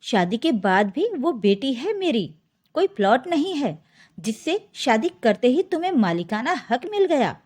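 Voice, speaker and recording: A woman's voice: high-pitched, very animated, measured pace, a little mellow, breathy edge, full character voice. A woman voicing an indignant middle-aged father who scolds and defends his daughter in a story. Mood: outraged. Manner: scolding, outraged.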